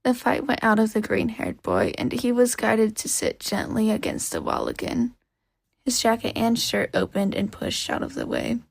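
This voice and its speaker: A woman reading scripted fiction as an expressive audiobook-style narrator. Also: on the high side, normal speed, creaky, dry recording. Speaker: podfic narrator.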